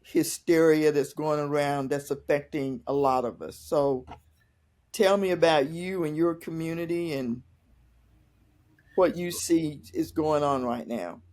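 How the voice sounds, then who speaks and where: A woman hosting a podcast, opening with a measured scripted intro and then asking earnest questions with a few restarts. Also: deep voice, a little mellow. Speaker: podcast host.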